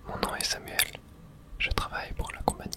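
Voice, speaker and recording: An ASMR gaming host speaks entirely in a breathy whisper. The delivery is gentle and unhurried, set in short phrases close to the microphone. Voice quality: soft breathy unvoiced whisper. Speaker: video game streamer and host. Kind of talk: whispered ASMR game playthrough.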